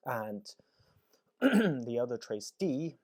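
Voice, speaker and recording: A man's voice: medium pitch, big pitch swings, drawn-out words, darkish tone. A man presenting a technical demonstration as an engineer, explaining step by step and often checking in with short tag questions. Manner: explanatory, frequent check-in tags like "right?" and "okay?".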